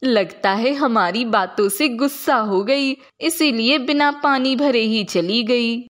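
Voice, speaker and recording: A woman's voice: fairly high pitch, slow pace. A woman voicing a gossipy village woman who teases with false sweetness in a cartoon story. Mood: mocking. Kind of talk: Hindi moral-story cartoon dub.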